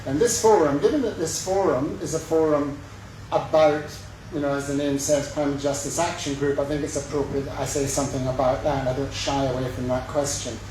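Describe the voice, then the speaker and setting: A middle-aged man with a west of Scotland accent giving a halting campaign speech at a lectern, with many fillers and self-deprecating jokes. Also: fairly high pitch, steady tone, darkish tone, slight background noise. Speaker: mayoral candidate. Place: large echoey sports hall. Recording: very echoey hall recording from far off.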